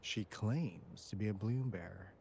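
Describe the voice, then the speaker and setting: An actor playing a brisk, wary field agent in a video game. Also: male voice, on the low side, warm tone, slight background noise. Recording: clear background ambience under game dialogue.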